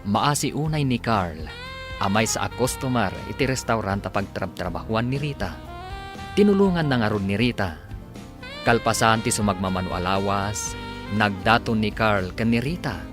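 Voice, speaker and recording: A man's voice: lowish voice, animated, normal speed. A man with an Ilocano accent narrating a radio drama serial in a dramatic, declamatory announcer style. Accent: Filipino, Ilocano. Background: music.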